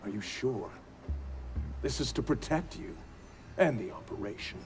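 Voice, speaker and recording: A man's voice: mid-range voice, expressive, unhurried, faint music behind, natural voice. A man playing a self-assured, scheming agency director in a video game cutscene, guarded and assertive in manner. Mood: guarded, cool.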